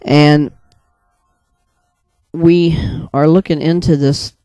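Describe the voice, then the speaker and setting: Male casual storyteller, very unhurried and hesitant, smooth soft voice, close dry sound. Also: on the high side, level tone, no echo, faint music behind. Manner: hesitant, rambling, pauses often mid-sentence. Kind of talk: casual first-person storytelling, recounting a dream.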